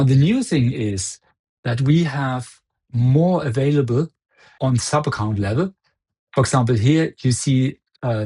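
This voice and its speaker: A man with a husky, slightly rough voice at an average male pitch. He presents a software product in a live demo style, walking the listener through it step by step in an explanatory way.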